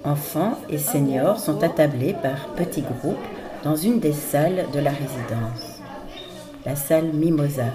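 A woman reporter narrating a scripted scene-setting passage in a calm, even way. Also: low-pitched, a little slow, crowd noise.

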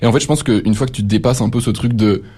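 A young man in a casual radio chat, relaxed and slangy, rambling a little as he talks. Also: mid-range voice, fast, breathy edge. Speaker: workshop participant.

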